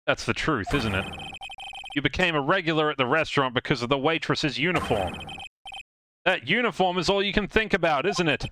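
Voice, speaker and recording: A man voicing an earnest young male lawyer, by turns deliberate and flustered, in a live fan dub of a game. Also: a little high, animated, background noise, natural voice. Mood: accusing. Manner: deliberate accusations, flustered hesitant asides.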